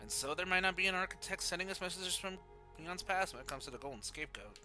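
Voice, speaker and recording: A man streaming a video game, talking casually and reading aloud in an animated, playful way. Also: sits high, quick pace. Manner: animated, playful, sound-effect exclamations. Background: faint game music.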